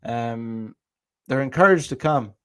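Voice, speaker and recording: Irish guy ranting on his stream, cursing a lot, stops and restarts, mid pitch. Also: slow, clear, resonant tone. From Ireland. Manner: rambling, profane, many restarts. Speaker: podcast host. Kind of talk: casual livestream monologue.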